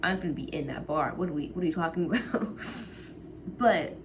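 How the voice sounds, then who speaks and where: A woman's voice: low-pitched, lively intonation, fairly quick. A woman in her late teens chatting casually to the camera in a rambling way, often laughing and trailing off. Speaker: college vlogger. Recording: noticeable background noise.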